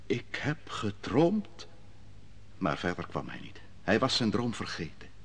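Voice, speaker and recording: An actor playing the prophet Daniel in an old radio drama, telling his story in a measured, solemn way. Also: lowish voice, wildly swooping pitch, vocal fry, breathy edge, full character voice. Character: prophet Daniel, a calm, grave advisor. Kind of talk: 1950s-60s radio drama acting.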